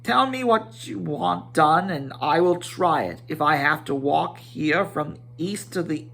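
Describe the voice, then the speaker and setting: A man's voice: on the high side, unhurried, gravelly, a bit bright, exaggerated voice. A man voicing a small, earnest male hobbit in a fantasy storybook, insistent and putting on bravery. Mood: earnest, defiant.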